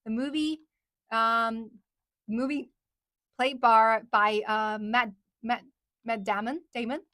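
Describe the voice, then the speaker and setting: Young woman, higher voice, dry close sound; chatty livestream host who echoes viewer questions back. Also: steady tone, takes it slowly, sharp tone. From China. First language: Chinese. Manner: chatty, repeats questions aloud, switches between English and Mandarin. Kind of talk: casual livestream chat reading viewer comments.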